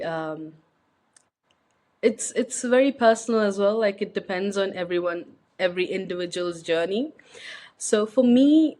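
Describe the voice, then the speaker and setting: A young woman from India gives a personal interview. She talks in a reflective, searching way, full of pauses and restarts, and her voice sits a little low. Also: darkish tone. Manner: reflective, hesitant, with many fillers and restarts. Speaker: guest.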